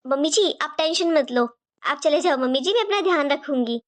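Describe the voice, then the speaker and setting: A woman voicing a gentle, dutiful young daughter-in-law in an animated family drama, speaking in a polite, soothing, deferential manner. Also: sits high, brisk. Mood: reassuring.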